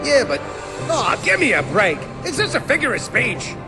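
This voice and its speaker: An actor playing a boisterous, wisecracking stone creature in a video game, brash and exclamatory. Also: male voice, very high voice, wildly swooping pitch, moderate pace, music in the background, exaggerated voice. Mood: cocky. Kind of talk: comic cartoonish game acting.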